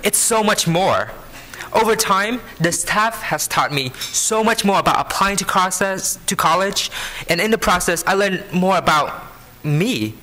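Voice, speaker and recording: A man in his early twenties with a Vietnamese accent, giving an earnest prepared speech to an audience, phrasing deliberately. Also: sits high, sharp tone, slight background noise. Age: early 20s. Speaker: scholarship program student speaker. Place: live benefit event before an audience.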